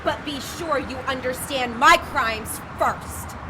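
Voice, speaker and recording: A woman's voice: high-pitched, slow, background noise. An actress playing a proud, disdainful witch who speaks in formal, cold sentences in an audio drama.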